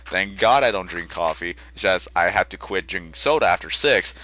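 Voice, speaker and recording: A tired young man rambling through casual gameplay commentary, often restarting his sentences and correcting himself, with a soft, quiet voice. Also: low-pitched, lively intonation, moderate pace. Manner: rambling, self-correcting chatter. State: tired from little sleep. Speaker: gaming channel host. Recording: game music faintly underneath. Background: faint game music.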